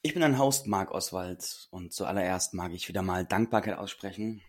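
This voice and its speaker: A man with a low, husky voice that sounds a bit hoarse talks earnestly and reflectively to his listeners as a podcast host. He leans into words with emphatic repetition, and the rasp in his voice never quite clears. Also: normal speed. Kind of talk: solo podcast talk.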